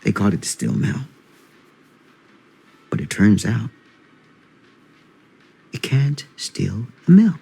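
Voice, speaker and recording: A husky middle-aged dad. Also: male voice, fairly low pitch, sing-song, slow, long pauses, mellow, heavily acted. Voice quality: husky, dry humor. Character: gruff small-town father, stern but joking. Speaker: father of a teen. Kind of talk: video game cutscene acting.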